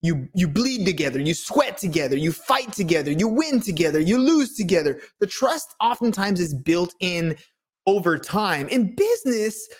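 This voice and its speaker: Lively interview host listing points. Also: mid-range voice, moderate pace. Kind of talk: conversational interview.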